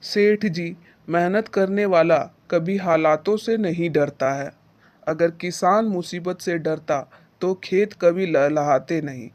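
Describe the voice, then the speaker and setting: A man's voice: fairly high pitch, slow, darkish tone. A man voicing a humble, earnest poor farmer in a moral-story cartoon, speaking respectfully and with feeling. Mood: earnest, determined. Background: background music.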